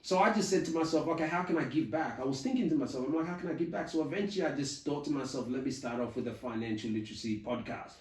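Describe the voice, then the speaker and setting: A man's voice: on the high side, expressive, quick pace, few pauses, a little mellow, reverberant, recorded from a distance. A man guest in a casual interview, who tells his story with energy and acts out his own past thoughts, often adding "you know". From Australia. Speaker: podcast guest, community founder.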